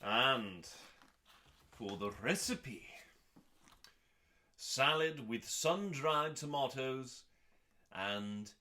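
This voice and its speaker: A man performs a theatrical reading in a mid-pitched, bright and forceful voice. His pacing is very slow, with weighty pauses and drawn-out emphasis. He sounds pompous and mock-serious, treating every phrase as high drama. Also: expressive. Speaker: host reading aloud from a cookbook.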